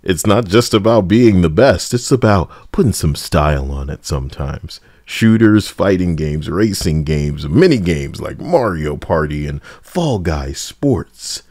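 A man with a lowish voice delivers casual gaming commentary. His intonation moves around a great deal, giving his blunt, sarcastic opinions an animated edge. The recording is dry and close, with very little room sound. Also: a little slow, hardly any pauses, dry recording. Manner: edgy, sarcastic, blunt, profane. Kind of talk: casual opinion commentary over game footage.

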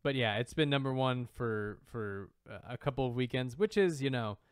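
A man co-hosting a podcast in casual banter, animated and often repeating himself. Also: medium pitch, normal speed, clean tone, no room sound, clean studio sound. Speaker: podcast co-host. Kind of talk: casual banter about manga news.

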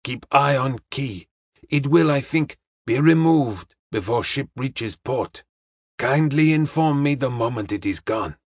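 Man as a detective, serene, courteous, deliberate phrasing, audiobook character, medium pitch. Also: lively intonation, husky, no room sound, studio quality. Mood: polite, firm. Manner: slow, deliberate, formally polite, drops articles.

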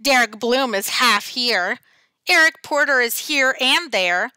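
A woman plays a monstrous green schoolteacher from a storybook. She is high and bright, drawing words out slowly. Her manner is theatrical, with sweet, gleeful menace. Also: expressive, smooth, no room sound, exaggerated voice. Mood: smug.

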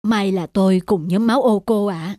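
A woman's voice: mid-range voice, normal speed, mellow, no echo, studio quality, natural voice. A woman voicing a humble, kind middle-aged woman in a cartoon, speaking politely. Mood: gentle. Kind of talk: cartoon voice acting.

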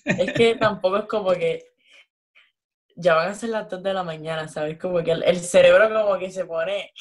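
A woman's voice: on the low side, steady tone, moderate pace. A young Puerto Rican woman, a guest on a video call, chatting casually with many fillers, heard through a laptop mic at home. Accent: Puerto Rican Spanish. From Puerto Rico. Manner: chatty, lots of "como que". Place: room at home. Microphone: laptop webcam on video call.

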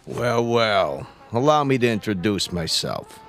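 Commanding mob boss, curt and menacing, lowish voice over faint music, radio play. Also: male voice, big pitch swings, a little slow, a bit bright, heavily acted. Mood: wary. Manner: commanding, sardonic. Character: domineering, menacing gangster boss, suspicious and curt. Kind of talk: radio drama acting.

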